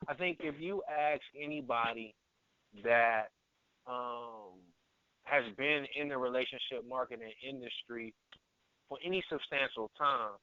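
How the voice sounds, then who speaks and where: Male conference-call guest, earnest and halting, conversational testimonial, thin dry phone-line sound. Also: medium pitch, bright, forceful tone, clean tone, no room sound. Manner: earnest, halting, repeats words. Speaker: guest, network marketer and area manager. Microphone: telephone conference call. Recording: tinny phone line, narrow band.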